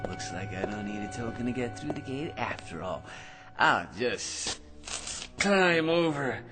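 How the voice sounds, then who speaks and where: Tipsy rambling blowhard, lively swoops. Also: male voice, mid-range voice. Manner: rambling, stammering, wheedling, mock-polite. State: drunk. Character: tipsy, rambling, cheeky barroom blowhard man, self-amused schemer. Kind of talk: old-time radio drama acting. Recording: music behind radio drama dialogue.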